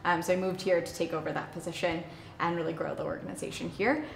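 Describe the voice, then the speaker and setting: A woman from Arizona, a nonprofit director, talking about herself in a relaxed, friendly interview indoors. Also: lowish voice, fast, gravelly, roomy sound, a little hiss or hum. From Arizona, United States. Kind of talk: casual interview. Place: indoor room with a plain wall.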